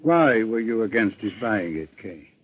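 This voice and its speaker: An American man playing a composed, methodical private investigator in an old-time radio drama, speaking in a measured, formal way. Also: on the low side, lively intonation, breathy edge. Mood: calm inquiring. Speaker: radio actor. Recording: dull vintage radio recording.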